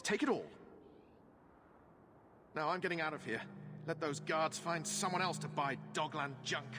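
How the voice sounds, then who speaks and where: Cowardly, sneering smuggler, fantasy game, fairly high voice, slight hall reverb. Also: male voice, moderate pace, sharp tone, slight background noise. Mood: nervous, resentful. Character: sneering, cowardly male smuggler, shifty and self-serving.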